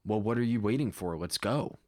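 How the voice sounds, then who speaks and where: A man's voice: on the low side, brisk, a little mellow, no echo, clean studio sound, close to an everyday voice. A man voicing a breezy, teasing male astronaut crewmate in a story, casual and prodding. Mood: urging.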